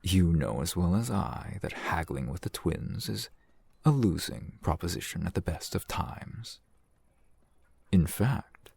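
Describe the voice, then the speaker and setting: A man playing a genial, teasing, flirtatious fantasy shopkeeper in an ASMR roleplay, unhurried and wry. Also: darkish tone, full character voice. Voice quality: low, gravelly, husky. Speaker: shopkeeper character in audio roleplay.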